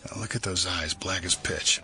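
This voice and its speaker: An actor playing a gruff, level-headed senior federal official in an audio drama, measured and authoritative. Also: male voice, sits low, steady tone, loud music behind the voice, lightly acted. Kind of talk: naturalistic audio drama acting.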